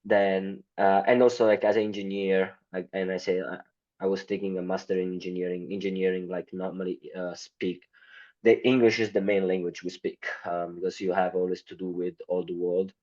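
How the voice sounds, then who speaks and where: An Italian man speaking English as a second language, answering interview questions in a halting way with many fillers. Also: sits low, flat delivery, darkish tone, muffled recording. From Italy. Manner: hesitant, many fillers, non-native grammar. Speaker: guest.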